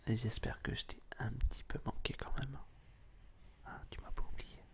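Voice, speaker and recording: A man's voice: medium pitch, little pitch movement, moderate pace. A man whispering intimately to the listener in a casual ASMR style, with a playful, teasing manner. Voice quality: breathy whisper. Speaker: ASMR video host.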